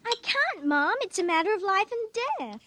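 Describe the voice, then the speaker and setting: A woman playing an excited, bossy little girl in a radio drama, talking in an insistent, breathless way. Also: extremely high pitch, normal speed, a little hiss or hum, full character voice. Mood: impatient.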